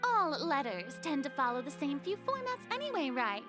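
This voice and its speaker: A woman's voice: sits high, sing-song, normal speed. An actress voicing a flustered, theatrical and evasive young woman in a video game. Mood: dismissive. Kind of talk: animated game voice acting. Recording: music behind the voice.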